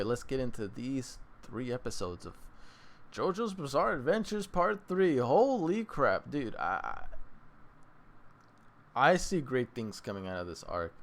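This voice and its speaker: A man hosting his own channel, casually reviewing an anime late at night, rambling and restarting his sentences, with sudden excited bursts. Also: mid-range voice. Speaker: anime reaction channel host. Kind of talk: casual solo review talk.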